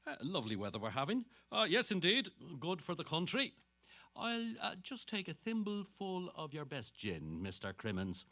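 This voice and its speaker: A man's voice: a little high, wildly swooping pitch, moderate pace, bright, forceful tone, full character voice. An actor playing a vain, dapper middle-aged salesman who chatters to himself in a dramatic stage reading. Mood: hearty, obsequious. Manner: self-satisfied, muttering to himself between hearty greetings.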